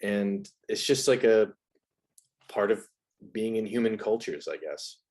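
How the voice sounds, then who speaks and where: Guy with a fairly low voice, chatty explainer, guest on an interview. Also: lively intonation, a little slow, darkish tone. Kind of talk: casual explanatory interview talk.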